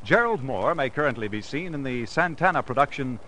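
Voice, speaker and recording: A man's voice: medium pitch, expressive, brisk, pushed and bright, slight background noise. A man with an American accent reading credits and promos as a polished old-time radio announcer. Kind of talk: 1950s radio announcing, scripted.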